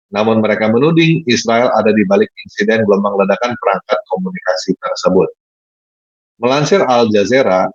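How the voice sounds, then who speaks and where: A man's voice: on the low side, husky, dry recording, lo-fi sound. A man narrating a scripted news report.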